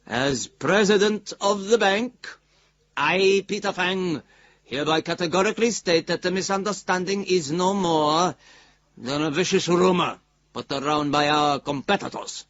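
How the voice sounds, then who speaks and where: A man's voice: sits high, a little slow, a bit bright, no room sound, highs cut off. An actor playing a self-justifying male bank president, giving a formal, emphatic statement in a radio drama. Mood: defensive, indignant. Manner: pompous, emphatic corporate delivery.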